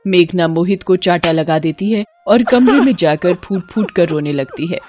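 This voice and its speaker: A woman reading scripted narration for an animated family drama as the storyteller. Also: fairly low pitch, moderate pace, faint music behind.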